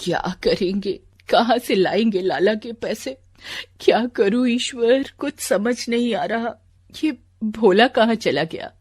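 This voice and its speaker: A woman's voice: on the high side, takes it slowly, darkish tone, husky, no room sound. A woman voicing a poor village mother in a storybook tale, tender, anxious and pleading. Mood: distressed.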